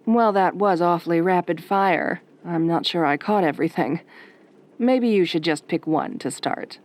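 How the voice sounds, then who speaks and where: Woman acting lowish, velvety late-night DJ. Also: normal speed, natural voice. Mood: smooth, sardonic. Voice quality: soft, velvety. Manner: dry, teasing, sardonic. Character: velvety, wry, flirtatious female late-night radio DJ. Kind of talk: late-night radio host roleplay.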